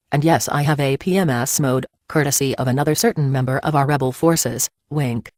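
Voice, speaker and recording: A woman's voice: deep voice, darkish tone, no room sound, studio quality, natural voice. A woman voicing a boastful female gargoyle warrior in a cartoon-style video, with a brash, teasing delivery.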